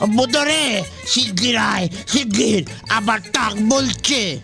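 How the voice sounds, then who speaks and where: A performer playing a quarrelsome old hookah-smoking man in an audio story, with theatrical drawn-out cries. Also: medium pitch, gravelly. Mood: angry, blustering. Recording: music under the voice.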